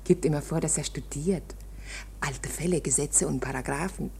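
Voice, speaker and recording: An actress playing a flirtatious, chatty wife of a court usher in a radio drama, colloquial and coaxing. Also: female voice, low-pitched, moderate pace, gravelly, dark tone, slight background noise. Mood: flirtatious, confiding.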